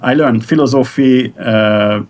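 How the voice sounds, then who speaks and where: A middle-aged man with a Hungarian accent, a native Hungarian speaker, talking haltingly in English on a video call and searching for words with many fillers. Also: medium pitch, darkish tone. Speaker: guest musician. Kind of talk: casual conversation.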